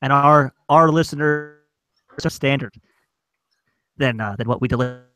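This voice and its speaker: A man's voice: mid-range voice, normal speed. A man on an internet video call, chatting casually with wry, exasperated complaining as a podcast cast member.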